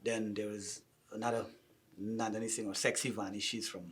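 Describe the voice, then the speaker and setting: A man's voice: fairly low pitch, little pitch movement, a little fast, a little hiss or hum. A man from Guyana with a Guyanese accent, a musician and label owner answering interview questions in an outspoken, emphatic way. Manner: outspoken, emphatic about his home music scene.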